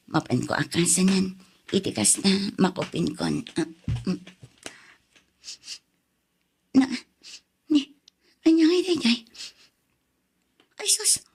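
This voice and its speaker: An actress playing a scornful, nagging wife and mother in an Ilocano-accented radio drama, with a sharp, emphatic way of talking. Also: on the high side, vocal fry, slightly rough, exaggerated voice. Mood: weary, dismayed.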